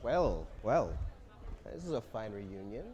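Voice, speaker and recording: An actor in a staged reading playing a smug, entitled factory commander who is condescending and menacing. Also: male voice, on the low side, big pitch swings, slow pace, darkish tone. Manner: smug, condescending, easily riled.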